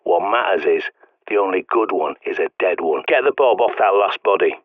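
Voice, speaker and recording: Over a narrow, crackly-radio style filter comes a dry, low-pitched English man, a paranoid doomsday survivalist from a video game. He is blunt and sweary, barking instructions like a bossy mentor to a raw recruit. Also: fairly quick, no echo. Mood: stern, blunt. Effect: radio transmission filter. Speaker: game character voice actor. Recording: muffled narrow-band radio sound.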